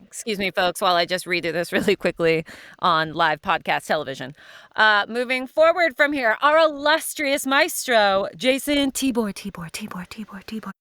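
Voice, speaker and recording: A woman's voice: mid-range voice, lively intonation, normal speed, pushed and bright, no room sound. A woman hosting a casual podcast chat with playful, teasing banter, often checking in with "right?" and "you know". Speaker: podcast host.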